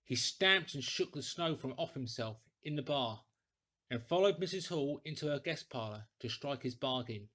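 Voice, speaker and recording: A man reading a classic story aloud in a deliberate, theatrical, clearly articulated way. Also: medium pitch, expressive, a bit bright. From England. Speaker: audiobook reader, actor. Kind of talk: dramatic scripted storytelling.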